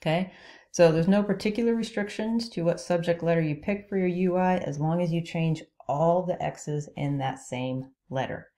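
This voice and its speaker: A woman's voice: fairly low pitch, normal speed, mellow. A woman teaching a logic lesson as a voice-over on slides, explaining each rule step by step and checking in with "okay?". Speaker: logic instructor. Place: voice-over on screen recording.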